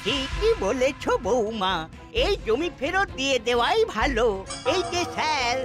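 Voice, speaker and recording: A woman's voice: high voice, big pitch swings, a little slow. A woman voicing a scolding, grudge-holding elder in a cartoon, with a threatening way of talking. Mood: stern. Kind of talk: cartoon voice acting. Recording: music behind the voice.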